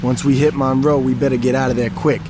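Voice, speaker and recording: A man's voice: medium pitch, quick pace, heavy background noise, close to an everyday voice. An actor playing a level-headed male gang strategist laying out a plan in a video game cutscene. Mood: determined. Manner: calm, decisive, commanding.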